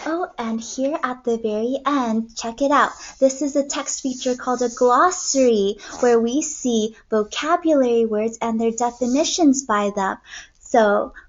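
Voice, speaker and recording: A young woman teacher reading aloud to kindergartners in an expressive storytelling way, recorded indoors on a computer mic. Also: on the high side, unhurried, highs cut off, a little hiss or hum. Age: young adult. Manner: expressive, clearly paced storytelling for children. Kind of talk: read-aloud lesson for young children. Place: small room at home, indoors.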